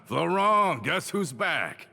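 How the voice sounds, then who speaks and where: An actor playing a hearty, tough, homesick ex-convict in an anime-style video game. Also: male voice, fairly high pitch, very animated, takes it slowly, vocal fry, pushed and bright, slight background noise, full character voice. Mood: hearty, relieved. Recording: faint game music behind.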